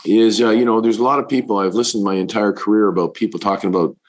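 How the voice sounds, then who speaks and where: A middle-aged man, a fund manager, answering interview questions in a halting way with many fillers, his voice deepened by illness. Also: fairly even intonation. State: recovering from covid, voice deepened.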